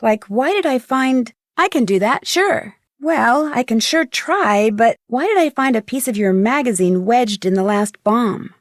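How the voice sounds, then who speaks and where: A woman's voice: a little high, animated, a little slow, hardly any pauses, dry recording, studio quality. An actress playing a polite, determined young woman detective in a video game, speaking in an earnest way. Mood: curious. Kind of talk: acted video game dialogue lines.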